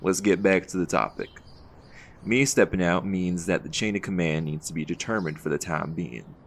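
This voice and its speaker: An actor playing a calm, authoritative vigilante leader in an audio roleplay, with a folksy, firm way of talking. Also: male voice, low voice, moderate pace, gravelly, slight background noise. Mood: firm, patient.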